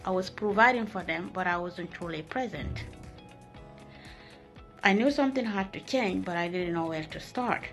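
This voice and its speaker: A woman from Sierra Leone, a nurse and mother, telling a heartfelt story in a soft, sometimes halting way. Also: on the low side, faint music behind. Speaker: nurse sharing a testimonial. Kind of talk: emotional personal testimonial.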